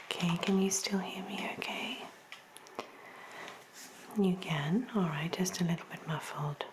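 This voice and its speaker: A woman speaking in a soft, breathy near-whisper, gently reassuring, as an examiner in an ASMR medical roleplay. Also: fairly low pitch, steady tone, a little slow, noisy background. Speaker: ASMR performer playing a hearing examiner.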